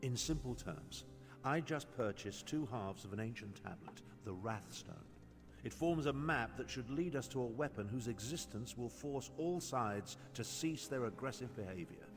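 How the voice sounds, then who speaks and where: An actor playing a secretive, commanding imperial schemer in a fantasy video game, speaking in a formal, persuasive way. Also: male voice, mid-range voice, animated, normal speed, lightly acted. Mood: urgent, persuasive. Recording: long hall reverb, music underneath. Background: game music.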